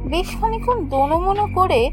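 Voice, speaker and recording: A woman's voice: very high voice, animated, fast, music in the background. A woman voicing an anxious young female office worker, telling a cartoon ghost story in a dramatic, hesitant way. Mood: worried. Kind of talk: first-person cartoon ghost story narration.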